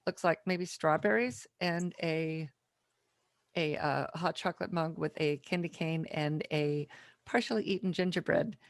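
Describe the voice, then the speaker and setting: A woman hosting a podcast in casual interview chat, speaking informally and a little haltingly. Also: low-pitched, no room sound, studio quality. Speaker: podcast host.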